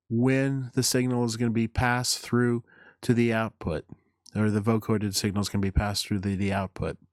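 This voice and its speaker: A man narrating a hands-on synthesizer tutorial in a halting, deliberate way, explaining as he goes. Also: mid-range voice, clean tone, dry recording, studio quality. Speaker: tutorial presenter.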